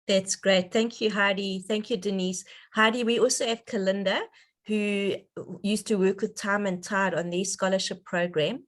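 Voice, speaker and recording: A woman's voice: mid-range voice, steady tone, normal speed. Woman hosting an online call. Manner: effusive, enthusiastic. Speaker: host of a donor conference call. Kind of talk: casual, appreciative hosting talk. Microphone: online conference call.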